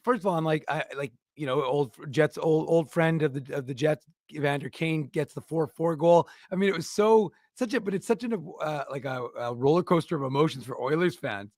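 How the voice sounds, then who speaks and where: Male co-host, rambling, slightly high voice, dry close recording. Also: a little fast, a little mellow, no echo. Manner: rambling, lots of restarts and fillers. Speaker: podcast co-host. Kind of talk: casual sports talk.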